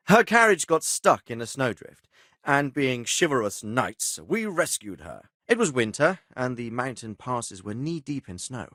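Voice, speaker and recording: Medieval RPG lord: middle-aged commander, stern yet fatherly, authoritative, widely varied pitch, close dry studio sound. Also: a bit bright, no room sound. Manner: authoritative, measured, formal phrasing. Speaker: feudal lord and military commander. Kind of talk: video game dialogue acting.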